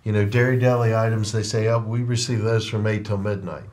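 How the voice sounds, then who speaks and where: A man's voice: fairly low pitch, fairly even intonation, brisk, a little mellow. Plainspoken middle-aged man hosting a podcast. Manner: plainspoken, trucker's perspective, frequent rhetorical "right?". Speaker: podcast host and trucking company owner. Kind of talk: casual interview chat. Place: podcast studio. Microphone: over-ear headphones with desk mic.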